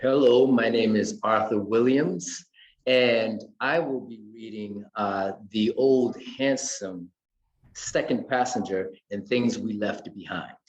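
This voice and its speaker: A man's voice: on the low side, slow. A man, an actor, introducing himself on an echoey, dull recording. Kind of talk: self-introduction.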